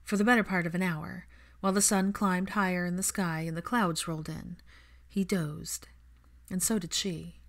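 A woman's voice: lowish voice, fairly even intonation, moderate pace. A woman reading fiction aloud as an audiobook narrator.

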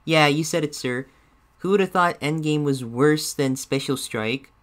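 An eager but unsure cartoon bear sidekick, performed by a man, reacting to videos. The voice is a little high for a male, and the manner is hesitant and always obliging toward the boss. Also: unhurried, a bit bright. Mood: mild, deferential. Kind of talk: character reaction commentary.

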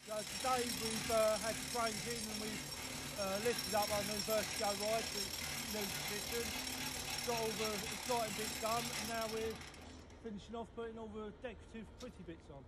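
High-pitched man over loud site noise. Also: little pitch movement, moderate pace. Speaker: construction worker. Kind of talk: brief on-site update. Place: outdoor building site. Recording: very noisy outdoor phone clip. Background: loud construction and machinery noise.

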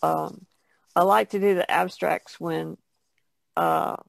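A woman who paints, chatting casually in a halting, thoughtful way. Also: on the low side, big pitch swings, creaky, no room sound. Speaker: painter being interviewed. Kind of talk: casual chat.

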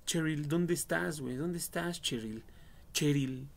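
A man's voice: on the high side, a little slow, gravelly. A young adult man with a Mexican accent, giving casual, slangy, foul-mouthed and jokey commentary on a game livestream. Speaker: livestream gamer.

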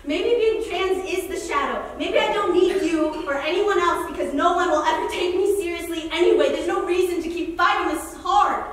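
Woman playing frustrated defiant young theater maker, stage reading, very high pitch, distant echoey recording. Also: normal speed, hardly any pauses, slight background noise. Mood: bitter. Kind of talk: staged play reading, argumentative monologue. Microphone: far camera.

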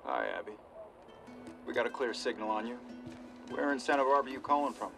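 An actor playing a calm, welcoming resistance radio operator in a game, measured and reassuring. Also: male voice, medium pitch, creaky. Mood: calm, probing. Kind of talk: naturalistic game cutscene acting. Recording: guitar music behind the voice.

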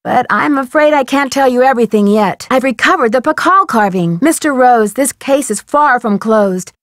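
An actress playing a polite, inquisitive young woman detective in an adventure game, speaking earnestly and clearly. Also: high voice, animated, moderate pace, no room sound, clean studio sound. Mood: reassuring, businesslike. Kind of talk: scripted game dialogue.

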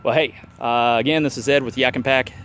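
A man's voice: medium pitch, steady tone, brisk, fairly bright tone, slight background noise. Laid-back man rambling with many fillers. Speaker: vlogger showing a DIY kayak rack. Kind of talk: casual how-to vlog. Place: outdoors on a grassy yard.

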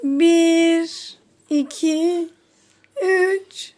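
A woman's voice: very high voice, steady tone, drawn-out words, heavy background noise. A woman playing a casual family game as a mother, counting and coaxing in a playful, encouraging way. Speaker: mother leading a children's game.

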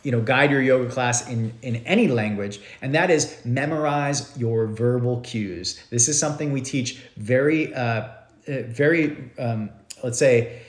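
Animated male yoga teacher in an echoey room. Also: mid-range voice, unhurried. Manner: animated, gestures a lot, loose and repetitive phrasing. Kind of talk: live-stream teaching talk. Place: living room at home, indoors.

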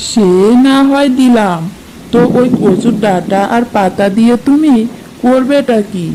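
A woman voicing a lazy, grumbling village husband in an animated cartoon. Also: fairly high pitch, a little slow, background noise, close to an everyday voice. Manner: grumbling, exasperated. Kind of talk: cartoon dubbing.

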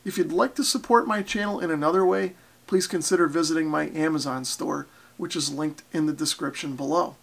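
A man's voice: on the high side, a little fast, gravelly, fairly bright tone. An American man in his forties explaining things casually to camera, in an unpolished, self-deprecating hobbyist way. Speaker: ham radio vlogger. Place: basement radio workshop indoors.

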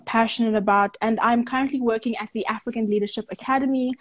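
A woman's voice: fairly high pitch, fairly even intonation, fast talker. Bubbly Ugandan-born woman in her twenties. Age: 20s. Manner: warm, gushing, laughs easily. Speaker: guest. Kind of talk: casual interview. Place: indoors by a sunny window. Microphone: earphone headset on a video call.

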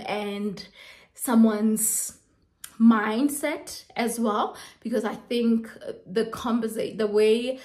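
A woman vlogging outdoors, talking casually and reflectively to her viewers. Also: fairly high pitch. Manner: slow, reflective, chatty. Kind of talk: casual talk to camera. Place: outdoors, windy.

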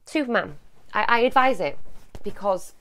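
Loud, animated woman in her thirties. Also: mid-range voice, moderate pace. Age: 30s. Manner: animated, loud, jumps between topics. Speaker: advice show host. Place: at home, walking through a child's room.

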